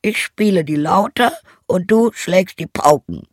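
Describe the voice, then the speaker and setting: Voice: mid-range voice, expressive, no room sound, studio quality, voice pushed up. A storyteller voicing an eager, encouraging old male donkey in an emphatic storybook manner. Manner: exclaiming, drawn-out, emphatic storybook delivery.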